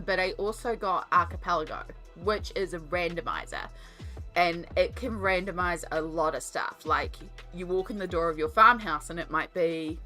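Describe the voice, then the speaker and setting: An Australian woman with a cold, her voice lowered and coughing, chatting casually and rambling with many ums while she colours on a stream. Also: bright, forceful tone. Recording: faint music behind.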